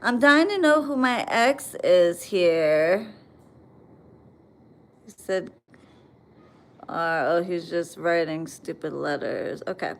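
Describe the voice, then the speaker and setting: A woman's voice: fairly low pitch, expressive, takes it slowly, pauses often. An American woman chatting bluntly on a livestream, reading viewer comments and answering them with plenty of swearing. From United States. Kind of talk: casual livestream chat.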